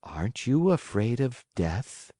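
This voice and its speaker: A man voicing a patient, gentle motherly fairy who coaxes softly. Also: mid-range voice, very animated, takes it slowly, dry recording, studio quality. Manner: gentle, coaxing.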